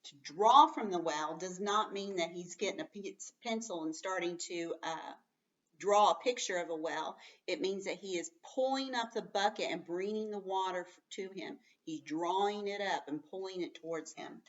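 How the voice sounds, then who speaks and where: A woman teaching young children, reading aloud expressively and explaining simply and patiently, slowing right down to stress words. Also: lowish voice, far from the mic, dull audio. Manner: expressive, emphatic, slows right down on story words.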